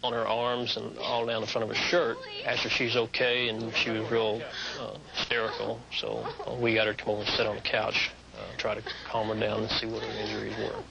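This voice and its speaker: American paramedic guy, gravelly flat voice, recounting a call, some hubbub behind. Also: lowish voice, a little fast, crowd noise, rough recording. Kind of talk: interview recollection.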